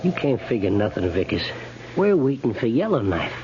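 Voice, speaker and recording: Man acting a rustic, animated cavalry trooper. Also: mid-range voice, moderate pace, natural voice. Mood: blunt, cocky. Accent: American. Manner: rustic, folksy grammar and blunt delivery. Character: blunt, cocky, rough-hewn cavalry trooper. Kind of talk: 1950s radio western acting. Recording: muffled, noisy 1950s radio recording.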